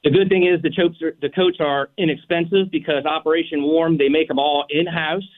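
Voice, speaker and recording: A man's voice: fairly high pitch, steady tone, a little fast. A man calling in as a radio guest, explaining a program steadily with some fillers. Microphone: phone line. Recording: tinny phone line.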